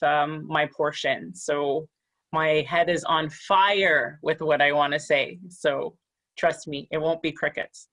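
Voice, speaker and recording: Woman panelist, low voice, eager, joking. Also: a bit bright. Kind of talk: panel discussion.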